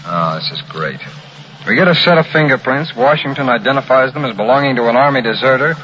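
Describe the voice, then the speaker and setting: An actor playing a keen, methodical federal agent in a 1940s radio crime drama, brisk and businesslike. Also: male voice, mid-range voice, expressive, sharp tone. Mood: frustrated. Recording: muffled old radio recording, faint noise.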